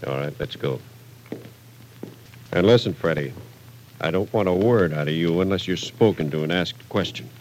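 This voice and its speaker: An actor playing a stern, authoritative police captain, curt but fair, in an old-time radio crime drama. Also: male voice, low voice, a little hiss or hum, close to an everyday voice, poor audio. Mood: brisk, commanding. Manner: commanding, measured, curt.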